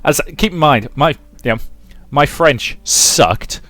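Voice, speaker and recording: A man's voice: on the high side, expressive, slow, fairly bright tone, dry recording. Dry-sounding man narrating a visual novel. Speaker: let's player. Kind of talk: dramatic narration and casual commentary on a visual novel. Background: game music.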